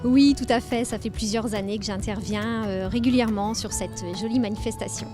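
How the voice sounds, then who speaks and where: A woman's voice: fairly high pitch, fairly even intonation, fairly quick, over music. A woman from Anjou who works as a face painter and children's entertainer, speaking informally in an interview. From Anjou, France.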